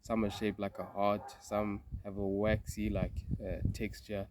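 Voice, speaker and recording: Low-voiced young man with a cold. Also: flat delivery, a little slow, background noise. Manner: thoughtful, meandering, with frequent fillers and restarts. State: congested from a cold. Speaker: vlogger. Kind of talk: casual reflective vlog. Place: sitting in a garden outdoors.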